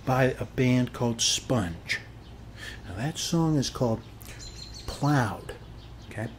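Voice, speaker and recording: A man's voice: mid-range voice, a little mellow. Rambling American man, friendly neighbor, noisy background. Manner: folksy, rambling, frequently checks in with "okay?". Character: chatty, boastful suburban neighbor guy. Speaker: ASMR performer playing a friendly neighbor. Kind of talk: ASMR roleplay, casual rambling monologue.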